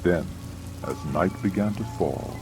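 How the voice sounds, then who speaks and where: A man with a very low voice reads a dramatized classical treatise. His delivery is measured and solemn, and clearly audible music and rain play behind him. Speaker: audiobook narrator. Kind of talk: dramatized scripted narration of a classical military treatise. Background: music and rain sounds.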